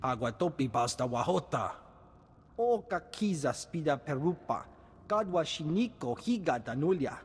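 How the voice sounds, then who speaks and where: A man's voice: fairly high pitch, sing-song, normal speed, husky, big-room sound. An actor playing a businesslike male alien in a video game, speaking an invented chattering language.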